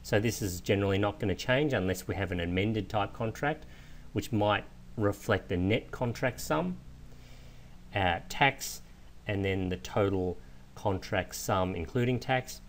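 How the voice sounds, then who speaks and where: A man with a fairly low voice talks through software step by step as an instructor. His delivery is methodical and explanatory. Noticeable background noise sits behind the voice. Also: unhurried. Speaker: software trainer. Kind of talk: instructional screen walkthrough narration. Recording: clear steady background noise.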